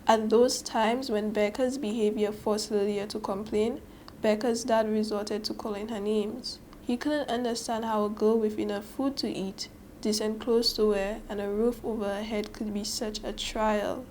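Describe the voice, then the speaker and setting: A woman's voice: mid-range voice, fairly even intonation, moderate pace, a little mellow, rough recording. A teenage girl with a Saint Lucian accent reading prepared text aloud in a careful, emphatic way, with some background noise. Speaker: student reader. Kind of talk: scripted reading aloud and commentary.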